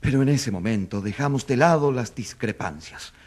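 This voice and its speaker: A man's voice: medium pitch, animated, normal speed, darkish tone, natural voice. A man playing an indignant opposition newspaper editor testifying in a radio courtroom drama, with emphatic, rhetorical delivery. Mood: earnest, pointed.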